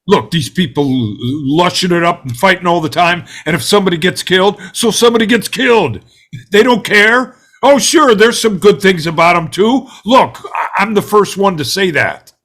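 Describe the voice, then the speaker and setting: An actor playing an angry, bigoted juror in a play read-through, ranting in a colloquial, hectoring way and growing desperate. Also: male voice, sits high, normal speed, fairly bright tone. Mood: agitated, insistent. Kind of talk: dramatic table read of a stage play.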